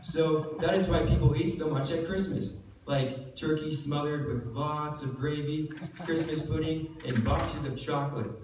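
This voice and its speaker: A man's voice: fairly high pitch, a little slow, a little mellow, lightly acted. A man playing a confident, lecturing professor in a church puppet show. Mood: pompous, certain. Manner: assured, lecturing. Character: confident, pedantic professor puppet fond of food. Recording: very echoey hall, distant mic, faint noise.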